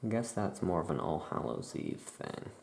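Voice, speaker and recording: A soft-spoken ASMR creator playing a witch shopkeeper, musing aloud in an unhurried way. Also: low voice, wildly swooping pitch, creaky, reverberant, slight background noise.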